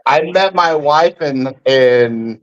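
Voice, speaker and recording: Rambling young guy, slightly higher voice. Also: slow pace, a bit bright. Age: young adult. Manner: rambling, fills every gap with like. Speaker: guest. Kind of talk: casual chat. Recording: dull lo-fi sound.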